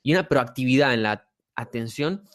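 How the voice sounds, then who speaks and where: A man's voice: mid-range voice, expressive, a bit bright. Argentine man hosting a casual chat. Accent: Argentine Spanish. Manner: casual, halting, with frequent fillers and repeated words. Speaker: podcast host. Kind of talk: conversational interview.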